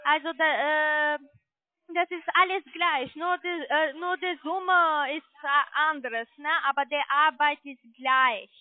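A non-native German-speaking woman giving a hesitant sales pitch over the telephone as a call centre agent. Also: no echo. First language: not German. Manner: hesitant, halting. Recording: muffled narrowband phone line.

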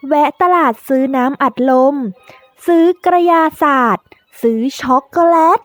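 High-voiced woman reading a children's tale, slowly. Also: no room sound. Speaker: storyteller for a children's teaching tale. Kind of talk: scripted children's storybook narration.

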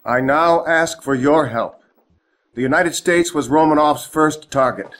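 An actor playing a middle-aged American president, grave and resolute in a formal address. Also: male voice, on the high side, measured pace, fairly bright tone, a little hiss or hum. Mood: grave appeal. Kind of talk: formal televised address acting.

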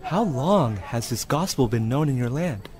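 An actor playing an earnest Chinese seeker of truth in an audio drama, solemn and heartfelt. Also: male voice, medium pitch, expressive, normal speed, crowd noise, close to an everyday voice. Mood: joyful, hesitant. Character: earnest Chinese man, seeker turned joyful new believer. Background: music.

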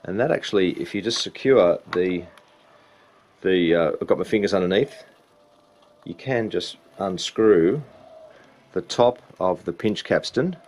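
A man's voice: low-pitched, expressive, unhurried, pauses often, darkish tone. A man talks through a hands-on repair. His delivery is informal and explanatory, going one step at a time. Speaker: repair hobbyist demonstrating a belt change. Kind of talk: casual hands-on repair walkthrough.

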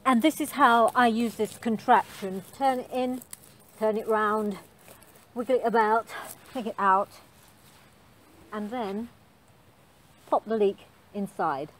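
A woman's voice: fairly high pitch, takes it slowly, long pauses. A middle-aged woman chatting casually about her garden while filming outdoors on a phone, with frequent ums. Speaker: gardening vlogger. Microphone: handheld phone camera.

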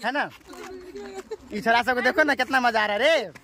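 Chatty high-voiced man filming a village vlog. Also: moderate pace, sharp tone. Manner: chatty and teasing, addresses viewers as guys. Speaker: vlogger. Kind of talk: casual village vlog chat. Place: outdoors on a rural village road. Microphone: phone held at arm's length.

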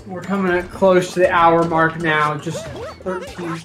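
Male co-commentator, Midwestern American, slightly high voice, casual and joking, game music behind. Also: flat delivery, measured pace. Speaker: esports speedrun commentator. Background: video game music.

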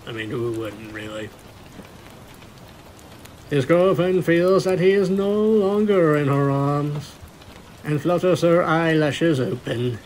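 Slow-paced male story narrator. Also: fairly high pitch, darkish tone, slight background noise, poor audio. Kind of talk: scripted storybook-like narration of fan fiction.

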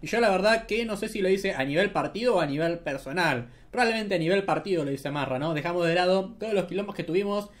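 A young man with an Argentine accent talks through political news in a loose, sarcastic way, tossing in tag questions as he goes. His voice sits a little high for a man. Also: quick pace, hardly any pauses. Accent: Argentine Spanish. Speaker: political commentary host. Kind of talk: casual political commentary over clips.

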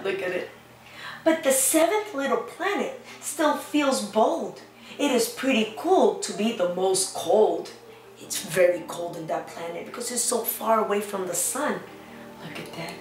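A woman, perhaps in her thirties, a preschool teacher talking to small children in an animated, simple way with lots of questions. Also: mid-range voice. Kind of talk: children's lesson and read-aloud. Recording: roomy, echoing, distant microphone, faint music.

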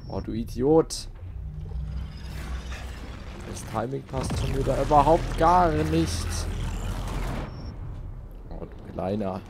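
A man's voice: medium pitch, animated, slow, creaky, long pauses, noisy background. A man giving casual let's play commentary, muttering and grumbling to himself. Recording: loud game music and effects behind. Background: video game music and sound effects.

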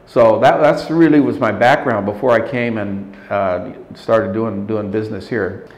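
An older man in his sixties speaks as an interview guest, slow to find his words and often restarting. His voice sits a little low, and the office room around him sounds roomy. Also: fairly even intonation, a little mellow. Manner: measured, thoughtful, frequent restarts and hesitations. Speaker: interview guest, leadership consultant. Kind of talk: reflective interview talk. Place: office meeting room at a table.

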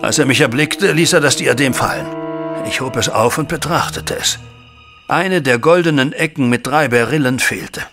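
An anguished, formal father in a radio play, acted by a man. His voice is middle-aged, sharp and gravelly, with dramatic agitation. Music plays underneath. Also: medium pitch, normal speed, natural voice. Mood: tense recounting. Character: distraught, anguished banker father recounting a family scandal. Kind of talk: German audio drama acting.

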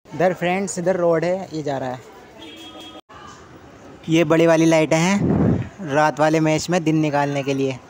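A man with a slightly high voice narrates a casual travel vlog. He talks informally to his viewers, pointing things out as he goes. The sound is a handheld phone recording outdoors, with some urban noise behind him. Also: a little slow, pauses often, a little mellow. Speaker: travel vlogger. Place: outdoors around a stadium and its stands. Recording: noisy outdoor phone video with street ambience.

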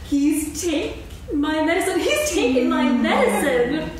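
Roo, a small, whiny young kangaroo. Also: female voice, high-pitched, expressive, a little slow. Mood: plaintive. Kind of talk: staged reading of a stage play. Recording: distant, echoey hall, noisy.

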